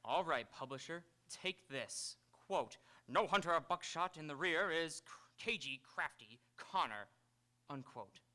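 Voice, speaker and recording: Man as sarcastic writer, animated pitch, bright forceful tone, indignant, protesting, radio comedy. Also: unhurried. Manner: sardonic, protesting. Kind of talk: live radio drama acting. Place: auditorium stage, live radio theatre.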